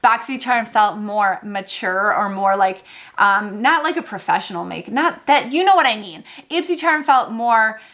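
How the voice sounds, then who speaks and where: Bright-voiced chatty woman. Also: medium pitch, moderate pace. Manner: chatty, excitable, thinks aloud with fillers. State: losing her voice. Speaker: beauty vlogger. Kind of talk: casual unboxing chat.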